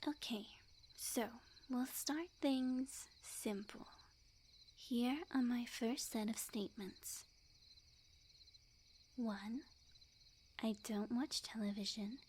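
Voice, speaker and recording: A woman playing a sweet, smug, possessive witch in love, in a soft, breathy ASMR roleplay addressed to the listener. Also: fairly high pitch, fairly even intonation, slight background noise. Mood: playful, teasing. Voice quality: soft, breathy, often whispered. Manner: slow, coy, teasing, overconfident.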